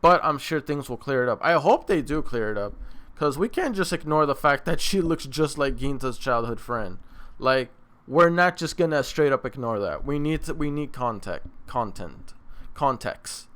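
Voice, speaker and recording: A man's voice: medium pitch, animated, moderate pace, fairly bright tone. A man hosting a reaction video in casual, rambling chat, often repeating and rephrasing himself. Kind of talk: casual review chat to camera. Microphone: microphone on a stand.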